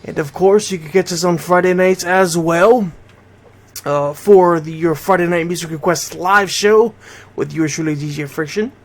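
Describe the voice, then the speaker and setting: A man's voice: fairly high pitch, fairly even intonation, moderate pace. A middle-aged American man from Connecticut hosting a radio show, talking informally and directly to his listeners. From Bridgeport, Connecticut, United States. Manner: conversational, addresses listeners directly. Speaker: radio host and DJ.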